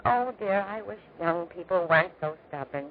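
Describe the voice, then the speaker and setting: An actress playing a middle-aged, gently scheming wife and mother in 1940s radio drama, with a tactful, coaxing manner. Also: lowish voice, expressive, moderate pace. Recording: noisy, tinny 1940s radio recording.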